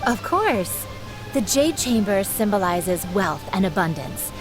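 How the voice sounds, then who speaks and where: A woman's voice: mid-range voice, big pitch swings, unhurried, over music. An actress playing a polite, helpful female market vendor in a video game, courteous and sales-like. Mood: welcoming.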